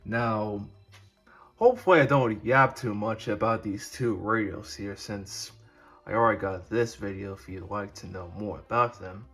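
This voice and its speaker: A man giving a casual show-and-tell narration as a hobby video host, with a husky voice and a halting, rambling manner. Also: fairly low pitch, a bit bright, faint music behind.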